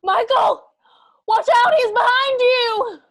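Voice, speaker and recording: A woman playing a bewildered, distraught mother in a radio drama, speaking in a breathless, halting way. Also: very high voice, steady tone, creaky, fairly bright tone, heavily acted. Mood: panicked.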